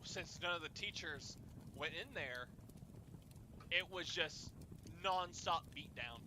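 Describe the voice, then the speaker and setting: A young man telling personal stories casually, in a halting way with repeated words and restarts. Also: on the high side, noisy background. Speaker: storyteller and host of a group chat.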